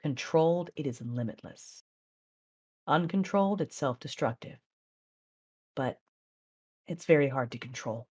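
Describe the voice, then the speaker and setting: A woman acting as a controlled, proud Sith mother, narrating and speaking in a measured, intense way in an audio drama. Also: low-pitched, expressive, a little mellow, breathy edge, full character voice. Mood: brooding. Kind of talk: first-person dramatic narration and dialogue.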